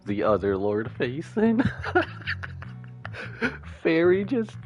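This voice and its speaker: A man's voice: fairly high pitch, huge pitch swings, extremely slow, highs cut off, faint music behind. A man streaming a game with casual live reactions, slangy and jokey, musing aloud.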